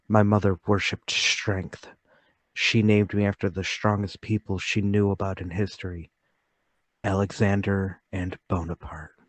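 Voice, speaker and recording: A man performing as a haunted, timid man pours out a confession in radio-drama style. His low voice is halting and intense, pleading to be heard, and it sits dry with little room around it. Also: no room sound. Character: timid, troubled lonely man confessing to murder, trembling. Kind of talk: dramatic radio-play table read.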